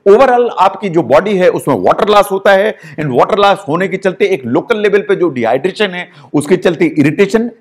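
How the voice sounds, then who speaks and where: A middle-aged Indian man, a doctor, giving a health explainer lecture and mixing English terms into Hindi as he goes through causes step by step. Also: a little high.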